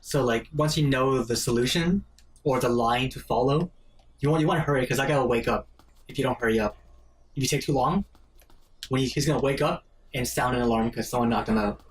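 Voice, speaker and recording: A man's voice: mid-range voice, brisk, slight background noise. A man giving casual, explanatory commentary while a video game is played. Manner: explanatory, conversational. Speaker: gaming commentator. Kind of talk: casual let's play chat. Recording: distant mic, game music behind.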